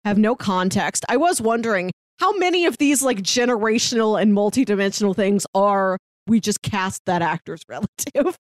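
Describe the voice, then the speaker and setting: A woman hosting a podcast, chatting casually and in an animated way about a TV series. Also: medium pitch, normal speed, hardly any pauses, dry recording, clean studio sound. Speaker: podcast host. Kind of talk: casual chat discussing a TV series.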